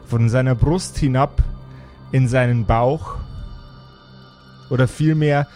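Male game master, unhurried deliberate fantasy storytelling, light music underneath. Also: mid-range voice, steady tone, a little mellow. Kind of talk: improvised fantasy pen-and-paper narration. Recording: music behind the voice.

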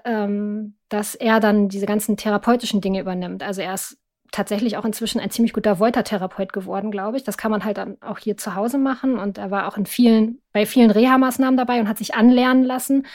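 A woman's voice: mid-range voice, steady tone, brisk, a little mellow. Female interview guest, middle-aged, thoughtful and hesitant, telling her own story in a conversational register. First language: German. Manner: reflective, hesitant, searching for words. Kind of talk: personal account in a conversational interview.

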